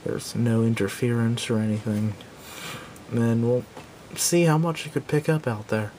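A man giving a casual, unscripted product review in a halting, self-doubting way, recorded indoors at a tabletop on a camera microphone. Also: fairly even intonation, dark tone, rough recording. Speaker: gadget reviewer. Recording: clear background noise with low rumble.

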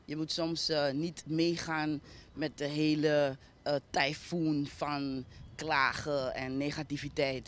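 Low Surinamese woman interviewed outdoors in street noise. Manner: reflective, explanatory, mixes in English words. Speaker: interviewee, arts foundation founder. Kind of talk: unscripted interview answers. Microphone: handheld interview microphone.

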